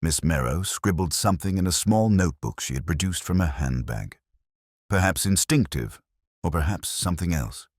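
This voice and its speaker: A man's voice: low voice, animated, moderate pace, no room sound, studio quality. A man reading a scripted detective story as an audiobook narrator, in the first person.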